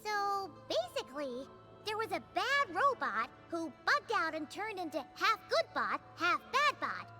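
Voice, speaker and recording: A woman voicing a tiny, excitable, childlike fairy companion in a video game, exclaiming and chattering about herself. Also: very high voice, a little slow, soft background music, heavily acted. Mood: thinking aloud. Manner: refers to herself in third person, exclamatory. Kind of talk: animated video game voice acting.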